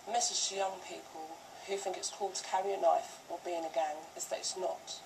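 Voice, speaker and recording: Even-toned British woman, very noisy playback. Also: fairly low pitch, brisk, vocal fry. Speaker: campaigner and mother. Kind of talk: measured testimonial to camera. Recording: very noisy, distant screen playback.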